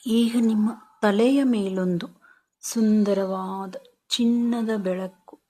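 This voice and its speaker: Female meditation guide, very unhurried, soothing, mid-pitched, short phrases with pauses. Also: steady tone, dark tone.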